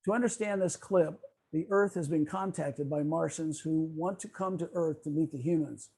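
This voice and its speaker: A man presenting a semi-scripted talk on a video call, explaining in a measured way with occasional stumbles as he reads. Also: fairly high pitch, dark tone, slightly rough. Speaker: club presenter on old-time radio.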